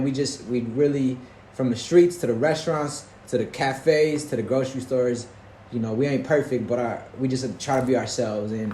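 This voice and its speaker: A man's voice: mid-range voice, mellow. A man hosting a casual faith-filled conversation, talking in an earnest, rambling way with many restarts. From Detroit, United States. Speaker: podcast host. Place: roomy indoor space, recorded in Portugal. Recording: echoey room with faint background noise.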